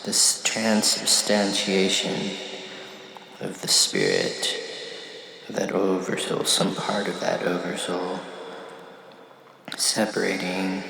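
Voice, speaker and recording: A man delivering a reflective spiritual monologue, musing in halting phrases with long pauses between them. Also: low-pitched, creaky, bright, forceful tone, reverberant, a little hiss or hum, rough recording. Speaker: monologue speaker.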